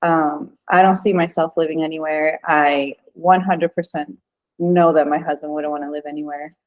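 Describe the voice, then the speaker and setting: A woman in her thirties, originally from Venezuela, talking easily as a guest on a podcast. Her voice sits a little low, and her speech is loose and full of "um"s and restarts. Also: fairly even intonation, moderate pace, poor audio. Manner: chatty, lots of fillers and restarts. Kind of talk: casual interview chat.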